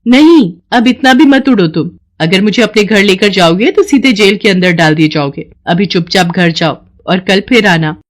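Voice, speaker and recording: A woman's voice: mid-range voice, expressive, moderate pace, hardly any pauses, lightly acted. A woman voicing a sly, playful magical cash machine that talks, teasing and theatrical, in a children's moral story. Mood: scolding. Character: talking magical ATM machine, female, playful, sly and fickle. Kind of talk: animated moral story dialogue.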